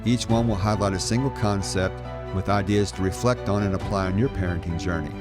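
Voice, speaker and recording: A middle-aged man narrating a scripted podcast as host, in a measured, encouraging manner. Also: deep voice, music in the background. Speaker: podcast host, adoption life coach.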